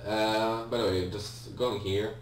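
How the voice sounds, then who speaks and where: A man's voice: lowish voice, steady tone, slow pace. A man giving casual video game commentary in a hesitant, rambling way, often correcting himself. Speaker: let's player, video game host. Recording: roomy, distant mic with faint hum.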